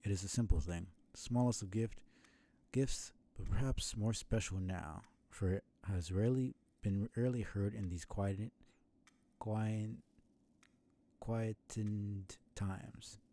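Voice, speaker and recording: A man's voice: fairly low pitch, dark tone. A man voicing an ancient, sickly, bedridden video game bug who speaks formally and apologetically, with courteous sorrow. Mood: gentle, wistful. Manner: formal, apologetic, slow and halting. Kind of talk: video game dialogue read aloud.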